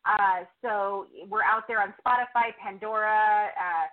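A woman's voice: medium pitch, steady tone, unhurried. Muffled woman chatting in an interview. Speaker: podcast host and producer, interview guest. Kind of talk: casual interview chat. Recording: muffled, band-limited call audio.

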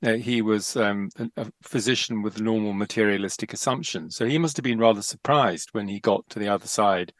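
A man interviewing a guest, asking thoughtful questions in a halting way with restarts. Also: lowish voice, no room sound, clean studio sound.

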